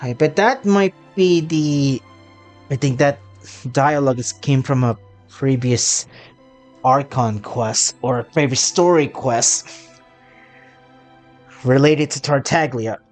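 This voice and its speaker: A woman's voice: a bass voice, slow pace, pauses often, darkish tone, faint music behind. A woman streaming a game, commenting on the story and reading dialogue aloud with dramatic expression. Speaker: gaming streamer.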